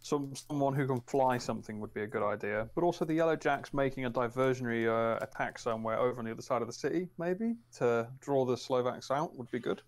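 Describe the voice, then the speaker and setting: Medium-pitched man at a tabletop game. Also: fast, hardly any pauses. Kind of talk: casual in-character planning talk.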